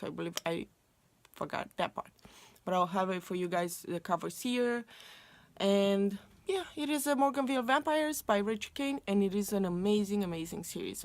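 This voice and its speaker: A woman's voice: mid-range voice, no echo. A young woman from Romania, a native Romanian speaker, chatting casually to camera about books with many fillers and restarts. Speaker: book vlogger.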